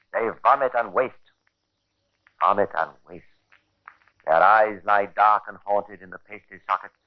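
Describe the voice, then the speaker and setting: An actor playing a sardonic, macabre storyteller, narrating a 1950s radio crime drama with ironic relish and deliberate phrasing. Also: male voice, lowish voice, lightly acted. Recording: dull vintage radio recording.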